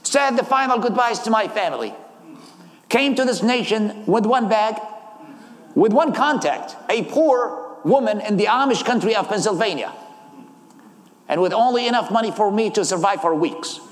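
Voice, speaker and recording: High-pitched Iraqi man preaching in echoey hall. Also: animated, moderate pace. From Iraq. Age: 30s. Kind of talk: public sermon and personal testimony. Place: large hall, live talk. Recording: echoey hall with long reverb.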